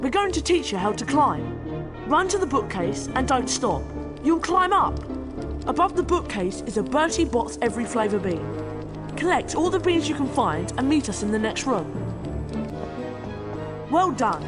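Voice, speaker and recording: A woman's voice: high-pitched, sing-song, normal speed, pauses often, loud music behind the voice. A woman voicing a cheerful, mischievous schoolboy wizard who gives upbeat instructions as video game tutorial dialogue. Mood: helpful, mischievous.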